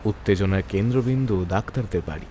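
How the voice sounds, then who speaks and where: A man's voice: fairly low pitch, fast talker, mellow, slight background noise. A man narrating a scripted detective thriller in a literary, dramatic storytelling manner.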